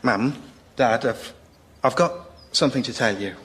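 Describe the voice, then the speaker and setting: A British man playing an awkward young cuckoo, halting and apologetic, in a radio comedy sketch. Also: medium pitch, a bit bright, some chatter behind, lightly acted. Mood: solemn, halting. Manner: halting, sheepish.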